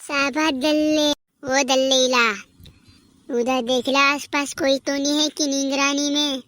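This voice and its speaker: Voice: little pitch movement, measured pace, fairly bright tone, no room sound. A performer voicing an emphatic, bossy little cartoon rascal. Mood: sly, conspiratorial. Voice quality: squeaky, artificially high voice. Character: squeaky, scolding cartoon buddy and comic small-time rascal. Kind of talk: amateur comedy cartoon dub.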